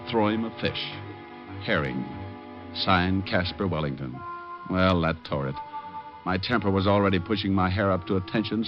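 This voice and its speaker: A man's voice: fairly low pitch, close to an everyday voice. An actor playing a shrewd, wisecracking male private detective with a wry, sardonic manner in old-time radio drama. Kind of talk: 1940s-50s radio acting and narration. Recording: dull old radio recording with music.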